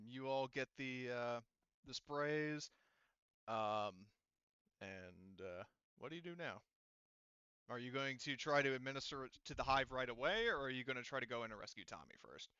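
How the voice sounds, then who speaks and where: A man acting as game master for a tabletop roleplaying game, narrating casually and haltingly as he thinks aloud. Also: medium pitch, sharp tone, clean tone, dry recording, dull audio.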